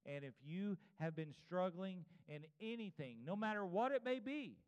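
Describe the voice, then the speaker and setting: A male preacher in his fifties delivers an earnest sermon to his congregation in a long-reverberating room. His voice is clear and resonant, and he exhorts listeners directly with repeated phrases. The recording is a little dull and muffled. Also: high voice, animated, moderate pace. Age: 50s (52). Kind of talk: evangelical church sermon with altar call. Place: church service before a congregation.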